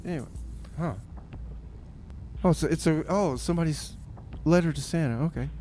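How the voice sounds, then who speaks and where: Easygoing community radio DJ. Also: male voice, a little high, warm tone, a little hiss or hum. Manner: easygoing, drawn-out, says "man" a lot. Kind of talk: laid-back radio hosting and comedy banter.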